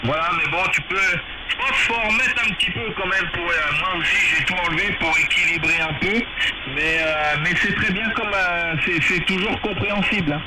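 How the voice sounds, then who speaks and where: Man, slightly high voice, amateur radio operator, casual and hesitant, measured, very loud radio noise. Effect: two-way radio transmission. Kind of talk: casual radio contact chat. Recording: very noisy, hissy radio transmission.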